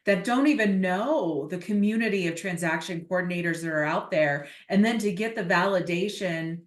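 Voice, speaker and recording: A woman hosting a podcast interview, asking questions and encouraging her guest with steady affirmations, often restarting her sentences. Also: lowish voice, normal speed. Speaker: podcast host. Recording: echoey room.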